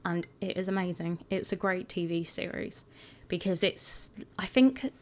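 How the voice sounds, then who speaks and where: A woman with a croaky voice, chatting casually and rambling a bit as she talks over her video. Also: lowish voice, measured pace, a little mellow. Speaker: gaming video creator.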